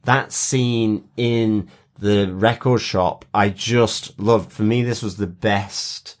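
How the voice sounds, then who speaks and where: Low-voiced man chatting as podcast co-host. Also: fairly even intonation, slow. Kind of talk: casual TV episode discussion.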